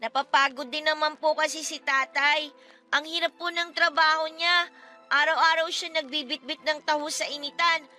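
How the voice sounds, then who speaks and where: A woman's voice: sits high, fairly even intonation, normal speed, pushed and bright, soft background music. A woman playing an earnest, respectful young daughter who questions and pleads in a radio-style drama. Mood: pleading, upset. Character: young daughter, earnest, polite, devoted to father.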